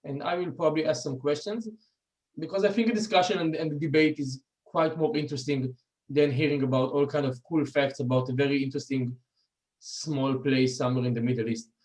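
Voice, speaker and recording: A middle-aged man with an Israeli accent gives an informal talk. His voice sits slightly high, and he speaks haltingly, often pausing on "um" and restarting his sentences. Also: fairly even intonation, darkish tone, poor audio. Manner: halting, many ums and restarts. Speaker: guest speaker.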